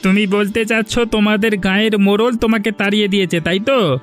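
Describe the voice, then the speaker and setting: A man's voice: sits high, fairly even intonation, a little fast, soft background music, natural voice. A man voicing a self-important village landlord in a moral story cartoon, pompous and full of questions. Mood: skeptical, questioning. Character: self-important male village landlord, grudging but obliging.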